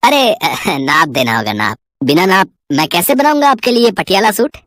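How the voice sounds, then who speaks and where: A woman voicing a lecherous, sly male tailor in a cartoon story, smooth-talking, boastful and wheedling. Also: on the low side, sing-song, normal speed, fairly bright tone, no echo, studio quality, voice pushed down. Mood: oily, boastful. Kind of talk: exaggerated cartoon story voice acting.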